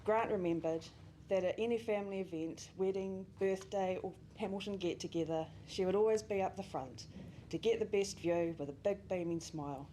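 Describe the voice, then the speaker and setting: A woman's voice: medium pitch, normal speed, warm tone, slight background noise, rough recording. A young woman reading a memorial speech for a family friend, reminiscing with small laughs. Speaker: family friend giving a eulogy. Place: memorial service venue.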